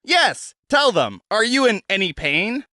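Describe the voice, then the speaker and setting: A man voicing a cheerful, enthusiastic male alien host who speaks in a brisk and courteous way. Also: high-pitched, sing-song, pushed and bright, dry recording, studio quality, pitched above the performer's own voice, full character voice. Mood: coaxing.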